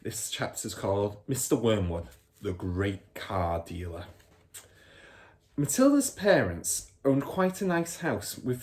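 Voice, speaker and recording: A man narrating a children's storybook aloud in the expressive style of a primary school teacher. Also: on the low side.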